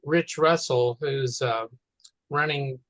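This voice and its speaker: A man commenting casually on a teleconference, talking in a halting, rambling way. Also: medium pitch, fairly bright tone, no echo. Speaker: meeting participant.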